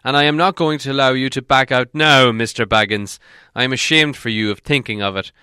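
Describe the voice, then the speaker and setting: A commanding old wizard, acted by a male storybook reader, bright and clear, pitched a little above the reader's own voice. He lectures emphatically, stern but with good cheer, in a close, dry sound. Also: steady tone, a little fast, dry recording, natural voice. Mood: stern warning.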